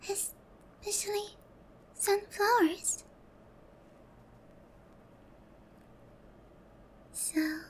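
A woman playing a gentle, remorseful young demon lord in a soft, breathy whispered ASMR roleplay, tender and hesitant. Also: very high-pitched. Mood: surprised, tender. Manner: slow, tender, hesitant, with long pauses.

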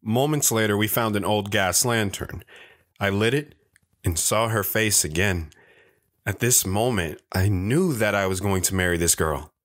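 A low male voice tells a first-person story for an audio drama. The actor plays a wandering man, wistful and a little bashful as he recalls his youth. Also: a little slow, a bit bright. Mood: reflective.

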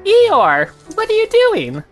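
Woman voicing a high-pitched, timid little piglet. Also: huge pitch swings, slow, soft background music, exaggerated voice. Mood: puzzled. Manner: hesitant, stammering, nervous, polite. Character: timid, small young male piglet. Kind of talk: audio drama acting.

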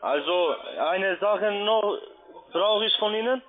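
A man with a high voice works through a phone call, questioning insistently. He stumbles and repeats himself with non-native phrasing. The line is thin and lo-fi, like a muffled telephone. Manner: halting, repetitive, non-native grammar. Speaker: phone scam caller.

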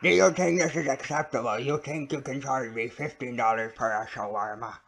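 A man's voice: mid-range voice, a little fast, vocal fry, fairly bright tone. A man voicing a confused, cranky old man who stammers and insists his way through a prank phone call. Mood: indignant. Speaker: prank caller. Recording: noisy lo-fi recorded phone prank.

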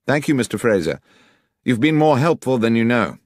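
Audiobook role: male reader as gentleman detective, shrewd, courteous, ironic, very lively intonation. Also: medium pitch, moderate pace, dry recording, pitched above the performer's own voice. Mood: probing. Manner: precise, probing, politely ironic.

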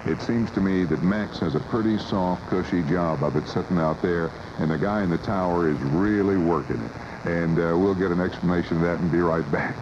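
A folksy American man with a fairly low voice hosts a radio talk show. He speaks conversationally, and the old AM cassette recording is dull with plenty of noise. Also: moderate pace. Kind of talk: casual talk radio interviewing. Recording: muffled 1980s AM radio cassette, loud noise.

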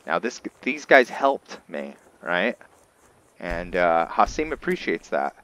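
A man's voice: low-pitched, big pitch swings, slow, fairly bright tone. A man giving casual commentary on his roleplay playthrough, explaining things to viewers and often answering his own questions. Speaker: gamer narrating a roleplay playthrough.

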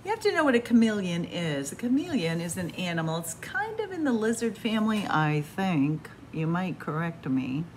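Expressive, lively-pitched woman reading to children. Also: moderate pace, hardly any pauses. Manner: expressive, simple explanations for children. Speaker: library story time reader. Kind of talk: read-aloud for young children, chatty asides. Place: small library room with bookshelves. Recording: light room background noise.

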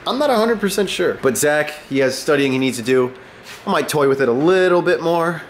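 A man in his twenties, sick and sounding a bit deeper than usual, chatting upbeat to a handheld camera in his home garage. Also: animated, brisk, slight background noise. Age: 20s. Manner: chatty and upbeat, joking with a friend. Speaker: car vlogger. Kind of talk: casual vlog talk to camera. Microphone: handheld camera close to face.

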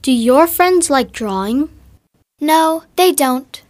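High-pitched woman, slow textbook dialogue. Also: expressive. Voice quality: clear, deliberate enunciation. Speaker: voice actor on a textbook recording. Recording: dry studio audio.

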